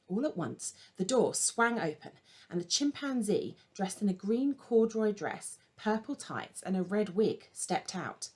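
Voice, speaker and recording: A woman author reading from her own children's book in an expressive storytelling style. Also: medium pitch, a little slow, husky.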